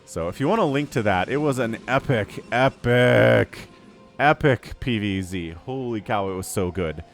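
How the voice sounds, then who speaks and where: A man casting a strategy game match alone, excitable and jumping into yelps at big plays, his voice slightly scratchy from a cold. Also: mid-range voice, unhurried, gravelly, soft background music. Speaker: StarCraft shoutcaster. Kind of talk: solo game commentary.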